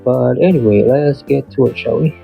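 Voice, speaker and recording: A man's voice: mid-range voice, moderate pace, vocal fry, lo-fi sound, soft background music. A man hosting his own channel, giving a plainly read, earnest weekly progress recap while his voice is still off from a flu. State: voice off from recovering flu. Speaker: beginner artist and channel host. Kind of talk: scripted weekly progress narration.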